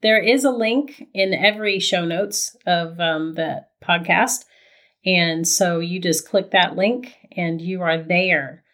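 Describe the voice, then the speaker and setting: A woman from Ohio co-hosting a podcast, her voice raspy right now, chatting casually and laughing often. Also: on the low side, slow, a bit bright. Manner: laughs often, says "girl". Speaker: podcast co-host. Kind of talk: casual chat.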